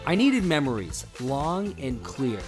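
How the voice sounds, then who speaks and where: A woman's voice: extremely low pitch, big pitch swings, measured pace, exaggerated voice. A woman voicing an eerie female creature with eggs about to hatch, in a fake advert. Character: egg-laden female creature selling her brood. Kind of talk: audio drama fake advert. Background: music.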